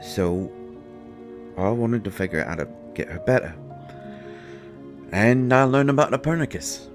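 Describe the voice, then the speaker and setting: An actor playing a grieving, gruff and defensive fairy husband in a roleplay audio drama, speaking haltingly and with raw emotion. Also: male voice, medium pitch, lively intonation, faint music behind, close to an everyday voice. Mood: wistful, grieving. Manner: halting, emotional, swinging from snappish outbursts to quiet murmurs.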